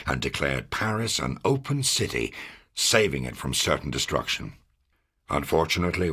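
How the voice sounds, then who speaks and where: A man playing a gruff, fatherly, middle-aged American intelligence officer in a wartime video game, grave and authoritative, with a gravelly, husky voice. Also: very deep voice, pushed and bright, exaggerated voice. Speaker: military intelligence officer giving mission briefings. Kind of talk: scripted dramatic video game briefing.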